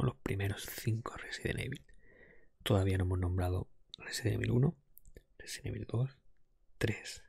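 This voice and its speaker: Whispering man, crackly and breathy. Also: lowish voice, no room sound. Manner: hesitant, thinking aloud. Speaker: ASMR podcast host. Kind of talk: whispered ASMR talk, casual game ranking. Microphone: close microphone.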